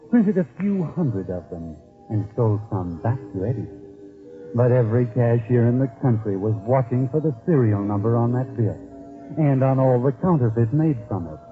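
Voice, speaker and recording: An actor playing a mysterious, knowing storyteller who addresses the listener with irony in 1940s radio mystery narration. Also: male voice, mid-range voice, close to an everyday voice. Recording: muffled old radio recording, music behind. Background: music.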